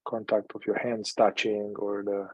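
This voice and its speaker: A man leading a guided meditation in a calm, unhurried manner, leaving long pauses between phrases. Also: lowish voice, husky, no room sound, lo-fi sound.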